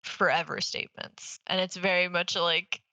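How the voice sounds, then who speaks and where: A woman's voice: lowish voice, little pitch movement, normal speed, bright, forceful tone, no echo. A woman co-hosting a podcast in casual banter, with a blunt, teasing manner. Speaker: podcast co-host.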